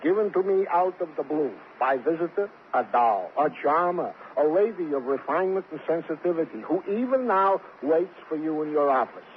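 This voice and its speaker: An actor playing a fussy, sentimental police sergeant in an old radio drama, with florid, aggrieved, oddly formal phrasing. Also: male voice, on the high side, expressive, normal speed, few pauses, a little hiss or hum, lightly acted. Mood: aggrieved, smitten. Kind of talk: 1950s radio comic-relief character acting. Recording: thin, phone-like old radio recording.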